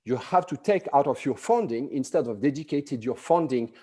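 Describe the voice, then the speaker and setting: A middle-aged man with a thick French accent giving a prepared conference talk, measured and full of "uh" pauses. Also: medium pitch, animated, warm tone, slightly rough. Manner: measured, frequent "uh" fillers. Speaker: conference presenter.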